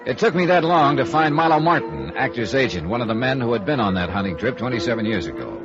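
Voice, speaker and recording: An actor playing a hard-boiled, dry, probing American insurance investigator in 1950s radio noir, clipped and wry. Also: male voice, medium pitch, sing-song, fast talker. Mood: wry, matter-of-fact. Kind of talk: 1950s radio noir acting with first-person narration. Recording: dull vintage radio recording with music.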